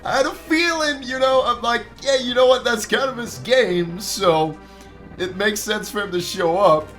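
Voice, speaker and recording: A man's voice: very high voice, normal speed, fairly bright tone, faint music behind. A man hosting a reaction video with casual, informal commentary, swearing and repeating phrases for emphasis.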